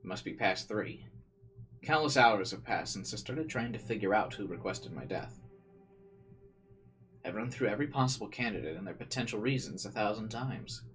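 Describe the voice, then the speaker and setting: A man's voice: on the low side, lively intonation, normal speed, a bit bright, slightly rough. A man playing a visual novel, reading its narration aloud dramatically and adding casual commentary. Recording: game music behind the voice.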